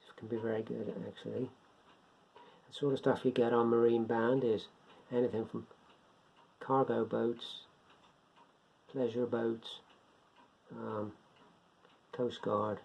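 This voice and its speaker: A man giving an informal, unscripted beginners' explainer in a rambling, step-by-step way, repeating himself, with a gravelly, husky voice. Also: mid-range voice, flat delivery, slow, long pauses, a little mellow, slight background noise. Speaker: hobbyist presenter of a beginners' guide. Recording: dull lo-fi home recording.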